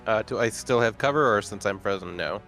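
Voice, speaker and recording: A man's voice: lowish voice, a little fast, a bit bright, soft background music. A man playing in a tabletop game, joking and laid-back as he talks. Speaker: player. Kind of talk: casual game chat.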